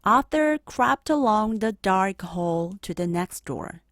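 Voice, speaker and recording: Woman, storybook narrator, expressive, animated pitch, unhurried, dry recording. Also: no room sound. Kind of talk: children's read-aloud narration.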